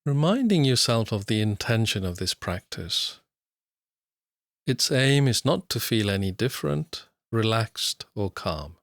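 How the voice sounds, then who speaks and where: A man guiding a body scan meditation, speaking slowly and calmly with long pauses. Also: fairly low pitch, no echo, studio quality. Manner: slow, calm, soothing, with long pauses. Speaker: mindfulness teacher.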